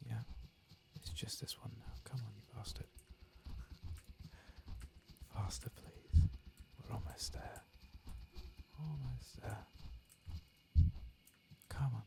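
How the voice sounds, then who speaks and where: Soft-spoken, very creaky man. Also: medium pitch, slow, pauses often. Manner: murmuring, often dropping into whispers. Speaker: streamer playing a video game. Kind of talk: soft-spoken ASMR game commentary, muttering to himself.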